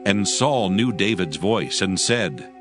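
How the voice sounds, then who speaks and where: Deep-voiced man narrating scripture, lively intonation. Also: slow pace. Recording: dry studio sound with music bed.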